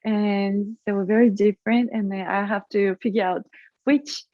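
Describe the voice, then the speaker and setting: A woman with a Japanese accent, a guest in a casual interview, speaking haltingly and searching for her English words. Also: medium pitch, fairly even intonation, no echo. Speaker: guest, acro yogi. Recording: tinny phone-like line.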